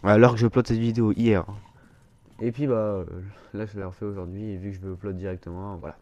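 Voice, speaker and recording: A man's voice: lowish voice, level tone, fast talker, a little mellow, dull audio. A man with a blocked, stuffy nose giving casual gameplay commentary, rambling and hesitant, full of fillers and restarts. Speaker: gaming YouTuber.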